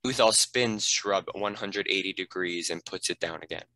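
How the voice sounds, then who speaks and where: A man narrates stage directions from a script. His voice is fairly low yet bright and crisp, captured close in a dry space. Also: moderate pace, no room sound, low-quality recording.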